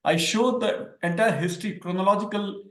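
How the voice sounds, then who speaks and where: A man's voice: sits high, fairly quick, fairly bright tone, echoey room. A man from India with an Indian accent, a native Hindi speaker, explaining ideas as a scholar guest in an academic discussion and repeating words for emphasis.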